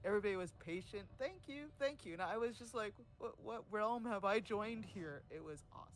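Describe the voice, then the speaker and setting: Chatty, laughing man with a higher voice. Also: animated, fairly quick. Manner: chatty, laughs often, many fillers; says he has a louder voice than most. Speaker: community event host. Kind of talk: casual livestream chat.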